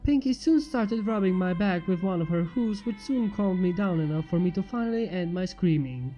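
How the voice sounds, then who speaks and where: A man's voice: sits high, animated, moderate pace, mellow. A man reading a scripted story as a fan fiction narrator. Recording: muffled, echoey long reverb under music. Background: music.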